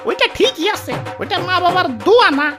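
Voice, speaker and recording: A woman's voice: very high voice, expressive, brisk. A woman voicing a matter-of-fact comic rickshaw driver in a cartoon, over children's music.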